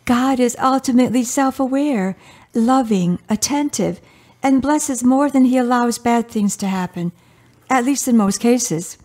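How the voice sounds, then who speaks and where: A woman acting in a radio drama as a devout, gentle older mother, patient and earnest as she counsels her grown daughter. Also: a little high, measured pace. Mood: earnest conviction.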